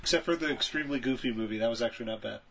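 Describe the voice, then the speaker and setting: A man's voice: mid-range voice, racing, fairly bright tone. A man co-hosting a podcast in casual conversation, giving opinions on films. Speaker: podcast co-host. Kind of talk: casual chat about films. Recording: clear background noise.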